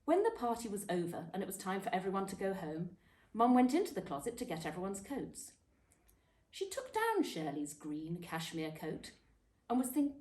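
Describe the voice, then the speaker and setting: A woman reading a children's book aloud as a storyteller, expressively and dramatically, with emphatic pauses and stressed words. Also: fairly low pitch, a little mellow. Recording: echoey room.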